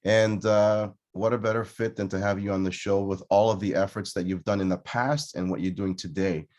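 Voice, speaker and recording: Lower-voiced podcast host. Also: male voice, fairly even intonation, fairly quick, a little mellow. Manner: upbeat introductions with many fillers. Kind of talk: casual podcast interview.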